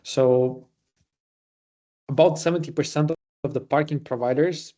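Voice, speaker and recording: A man from Latvia with a Latvian accent, presenting a webinar in an informal way with frequent pauses. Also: mid-range voice, fairly even intonation, warm tone. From Riga, Latvia. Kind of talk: semi-scripted webinar presentation.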